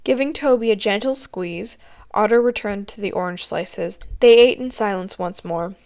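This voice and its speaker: A woman's voice: mid-range voice, moderate pace, a little mellow. Woman reading a story aloud. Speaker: amateur audiobook reader. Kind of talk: scripted story narration.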